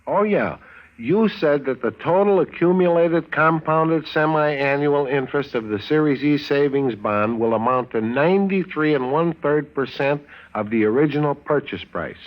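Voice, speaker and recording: An actor playing an agreeable, slightly sly American husband in a mid-century radio advertisement. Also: male voice, fairly high pitch, normal speed, close to an everyday voice. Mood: dutiful. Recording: dull old radio recording.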